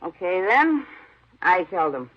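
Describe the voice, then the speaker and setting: A woman's voice: on the low side, very animated, a little slow. An actress in a 1950s radio crime drama playing a defiant, sarcastic woman suspect who talks in slangy, challenging questions, on a dull vintage recording. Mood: sarcastic, tipsy.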